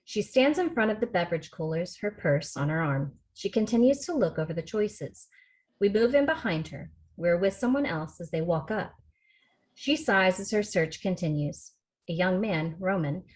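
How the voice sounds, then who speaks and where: A woman reading scripted screenplay narration as the stage-direction reader on a video call. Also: on the low side.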